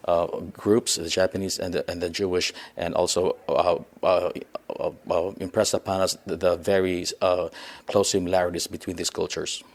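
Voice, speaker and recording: Male actor, low register, formal phrasing with frequent halts, answering an interviewer. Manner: halting, formal wording.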